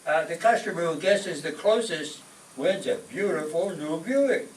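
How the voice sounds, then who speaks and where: A man's voice: a little high, unhurried, close to an everyday voice. An actor playing a henpecked, skeptical family man and wisecracking pal in a 1940s-style radio sitcom. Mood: skeptical banter. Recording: echoey room, distant mic, faint music.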